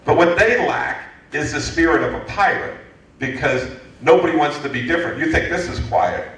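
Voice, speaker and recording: A man in his fifties from the United States, answering an audience question at a lecture in a lively, joking way with many restarts. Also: fairly high pitch, moderate pace. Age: 50s. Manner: animated, joking, frequent restarts. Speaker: guest speaker, entrepreneurship lecturer. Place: lecture hall. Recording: echoey hall from far off.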